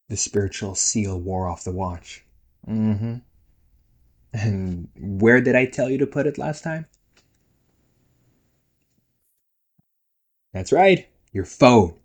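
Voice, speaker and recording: A man's voice: lowish voice, a little mellow, husky, exaggerated voice. A man playing a playful, nagging young ghost brother in a casual roleplay audio drama, teasing and chatty. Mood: chiding. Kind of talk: casual one-sided roleplay audio acting.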